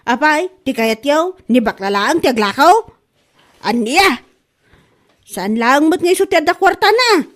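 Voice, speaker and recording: A woman playing a quarrelsome, gullible, indignant woman in a comedy audio drama, with an Ilocano accent and drawn-out exasperated delivery. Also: sits high, sing-song, a bit bright, heavily acted. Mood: indignant, scolding. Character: quarrelsome, gullible woman accusing a bag seller of lying. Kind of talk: Ilocano comedy radio-style drama acting.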